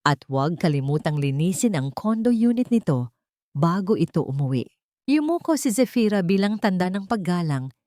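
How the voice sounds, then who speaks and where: A woman's voice: on the low side, darkish tone, no echo, clean studio sound. A woman reading a scripted dramatic love story as its narrator.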